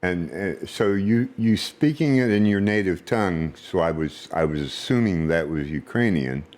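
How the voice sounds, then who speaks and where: A man chatting casually and asking curious questions. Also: low-pitched. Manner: slow, curious, lively questioning. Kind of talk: casual chat.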